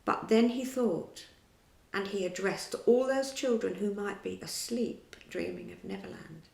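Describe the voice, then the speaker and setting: Creaky-voiced woman reading a story. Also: medium pitch, unhurried, a little mellow. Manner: dramatic, shifts to whispers. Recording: echoey room.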